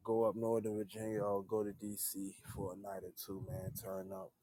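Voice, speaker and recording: A man's voice: lowish voice, monotone, moderate pace, mellow, no echo. A man in his thirties chatting casually on a livestream, laid-back and earnest, often tagging "man" onto the end of his sentences. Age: 30s. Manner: laid-back, repeats "man" at line ends. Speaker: livestream host. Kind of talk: casual livestream chat with viewers.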